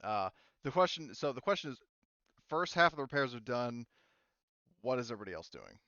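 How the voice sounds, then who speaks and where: Smooth-voiced man running a tabletop game. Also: medium pitch, sing-song, brisk, sharp tone, no room sound, highs cut off. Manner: casual, rules-checking, many fillers. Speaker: game master.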